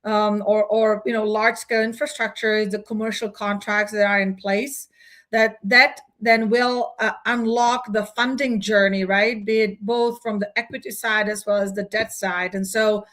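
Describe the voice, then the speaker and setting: Guest CEO, a woman from the Czech Republic in her forties: thoughtful, halting delivery, middle pitch. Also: flat delivery, a bit bright. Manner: thoughtful, many fillers and restarts. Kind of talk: conversational business interview.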